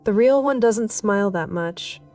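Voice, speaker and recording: A woman playing a guarded, nervous village woman in a horror story, speaking quietly and seriously. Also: mid-range voice, expressive, measured pace, far from the mic, lightly acted. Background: music.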